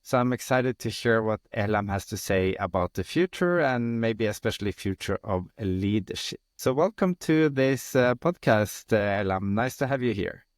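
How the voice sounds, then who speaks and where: A man's voice: on the low side, animated, moderate pace, hardly any pauses, dry recording, clean studio sound. Male podcast host introducing a guest.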